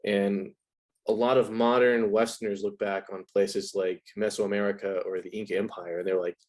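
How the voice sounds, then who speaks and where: A man's voice: lowish voice, little pitch movement, moderate pace, darkish tone. A man talking as an interview guest, explaining ideas in a casual, conversational way.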